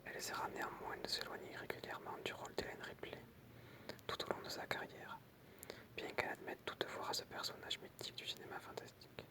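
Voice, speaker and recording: ASMR reader, full breathy whisper, unvoiced, soft explanatory delivery, casual asides. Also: quick pace. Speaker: ASMR creator reading film trivia with commentary.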